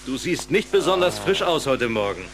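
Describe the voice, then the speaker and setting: A man's voice: a little high, big pitch swings, normal speed, over music. A man playing a cheerful, sporty father in a children's radio play, teasing and emphatic. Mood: jovial, teasing. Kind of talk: German children's audio drama acting.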